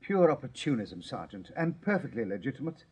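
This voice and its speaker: Haughty, husky English professor. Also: male voice, mid-range voice, very animated, fairly quick. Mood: disdainful, evasive. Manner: pompous, precise, disdainful. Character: haughty Victorian professor and stage medium, evasive, snobbish. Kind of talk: radio drama acting.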